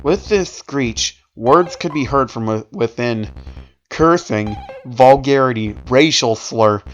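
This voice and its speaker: A man's voice: medium pitch, muffled recording. A man narrating a story aloud in an emphatic, theatrical way, occasionally tripping over a word. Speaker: narrator reading horror fan fiction aloud. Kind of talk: dramatic story reading with amused asides.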